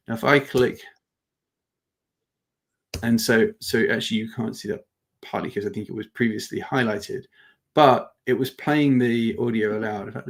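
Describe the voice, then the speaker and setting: A man presents an informal live product demo with noticeable vocal fry. His delivery is halting and conversational, as he thinks aloud while he explains things to attendees. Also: lowish voice. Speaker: webinar presenter demonstrating software.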